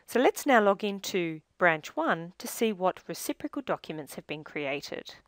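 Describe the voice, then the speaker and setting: Low-pitched woman narrating a software tutorial. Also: sing-song, moderate pace, no echo.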